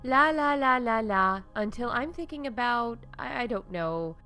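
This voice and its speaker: A woman's voice: on the high side, expressive, unhurried, bright, forceful tone, faint music behind, full character voice. A woman voicing an anxious, evasive female park employee in a flustered, overdramatic way for a comedy audio show. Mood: nervous, evasive. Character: anxious, evasive female park staffer fretting over mystery.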